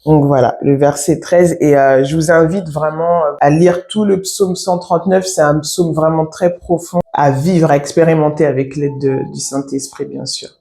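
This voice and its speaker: Halting woman in her thirties giving testimony. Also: low-pitched, steady tone, mellow. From France. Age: 30s. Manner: halting, reflective, many pauses. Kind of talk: personal faith testimony. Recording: echoey room.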